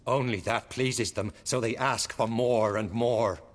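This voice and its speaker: An actor playing a tormented, haunted jarl who speaks in solemn, archaic, fatalistic lines. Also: male voice, mid-range voice, fairly even intonation, measured pace, natural voice. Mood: grim, haunted. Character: tormented haunted old jarl, brooding and grim. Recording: long hall reverb.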